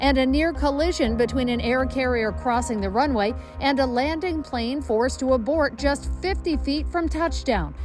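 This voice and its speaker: A woman's voice: high-pitched, normal speed, few pauses, darkish tone, soft background music. A woman reporter delivering scripted narration for an investigative television news report.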